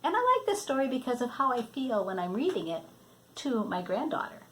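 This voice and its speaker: A woman's voice: on the high side, sing-song, brisk, fairly bright tone, slight background noise, low-quality recording. An older woman reading a children's storybook aloud, expressively, drawing out words for emphasis. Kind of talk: read-aloud storybook narration.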